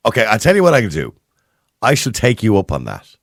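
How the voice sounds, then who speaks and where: A fast-talking Irish radio host with a low, gravelly voice. His pitch jumps lively up and down as he chats, and the studio sound is dry and close. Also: no echo. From Ireland. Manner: chatty and animated, laughs easily. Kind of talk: casual radio chat.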